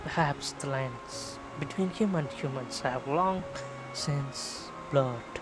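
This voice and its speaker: A man's voice: mid-range voice, slow pace. A man from India with a Tamil accent, live streaming a game, chatting to viewers and reading out game text. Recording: game music behind the voice. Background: video game music.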